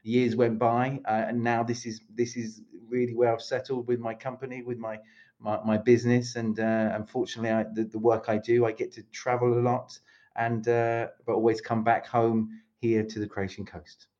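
A man's voice: medium pitch, flat delivery, moderate pace, a little mellow, muffled recording. A middle-aged British man from London, a speaking coach, chatting as a podcast guest over a video call and rambling with restarts. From England. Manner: rambling, many restarts and self-corrections. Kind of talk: casual interview chat over video call.

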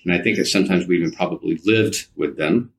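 Guy in his 50s, really low voice, rambling through memories in an interview. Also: quick pace, a little hiss or hum. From United States, partly Alabama and California. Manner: rambling, circles back and rephrases. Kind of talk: conversational personal reminiscence.

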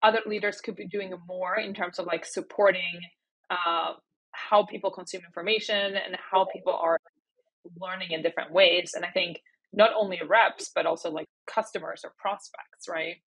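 A woman's voice: on the low side, steady tone, moderate pace, slightly rough, low-quality recording. A woman hosting a podcast interview in a casual, conversational way, often dropping in "like". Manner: informal, conversational, frequent "like".

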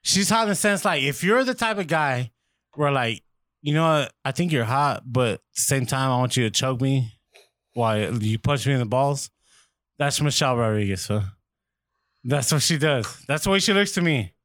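A man's voice: mid-range voice, animated, moderate pace, fairly bright tone. A married man in casual chat, ranting profanely and stammering when worked up. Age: adult, married.

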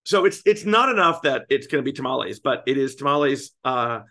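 Slightly hoarse, gravelly man in his fifties. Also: mid-range voice, animated, a little fast, pushed and bright. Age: 50s. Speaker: podcast co-host. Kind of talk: casual chat.

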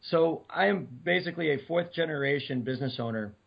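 A middle-aged man from Detroit, hoarse and scratchy from a cold, answering interview questions with many fillers. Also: a little high, quick pace. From Detroit, Michigan. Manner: relaxed, many uh fillers and restarts. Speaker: guest business owner. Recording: dull lo-fi remote audio.

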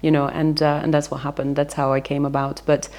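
A woman's voice: low voice, little pitch movement, brisk, a little mellow. A woman in her thirties, from England, telling her career story candidly in a casual interview, with frequent fillers. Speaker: interviewee, company founder.

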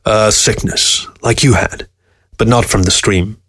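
A man's voice: lowish voice, fairly even intonation, measured pace, a bit bright, no room sound, studio quality. A man voicing a weary, grieving old man in a story, defensive and pleading. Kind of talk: storybook character dialogue.

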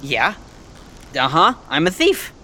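A man's voice: on the high side, wildly swooping pitch, slow, sharp tone, a little hiss or hum, exaggerated voice. A man playing a nervous, chatty young American bluffing as a thief in a fiction podcast, rambling and stammering as he tries to please. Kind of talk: fiction podcast acting, casual modern speech.

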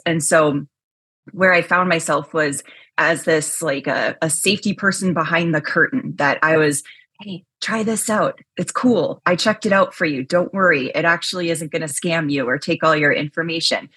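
A woman chatting as a podcast guest, loud and energetic, with a husky voice and many casual fillers. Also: deep voice, no echo. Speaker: podcast guest, chief risk officer. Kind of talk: casual interview chat.

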